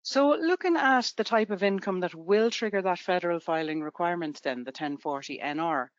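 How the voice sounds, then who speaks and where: A woman's voice: fairly low pitch, brisk, no room sound. Irish lady doing a tax webinar, chatty examples, dry dull audio. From Ireland. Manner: conversational, explains with everyday examples. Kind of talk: explaining to students in a webinar.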